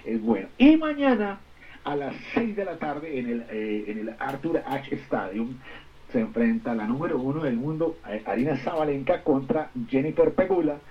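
Halting Colombian radio man, muffled sound. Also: fairly high pitch, lively intonation, slight background noise. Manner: halting, repetitive, searching for words. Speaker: radio show co-host. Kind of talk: casual sports chat.

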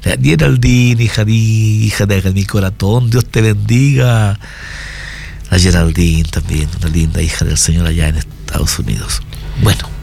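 A man's voice: steady tone, moderate pace, vocal fry. A male pastor, chatting casually and blessing listeners by name on a church broadcast. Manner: warm, blessing people by name with rising pitch. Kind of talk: casual chat, reading greetings on a church broadcast.

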